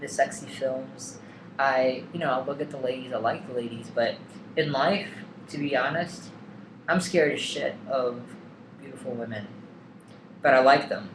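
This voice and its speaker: A man's voice: mid-range voice, normal speed. Young man rambling candidly in an echoey room. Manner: hesitant, lots of ums and likes, candid. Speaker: vlogger. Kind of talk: casual personal vlog. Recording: echoey room, faint background hum.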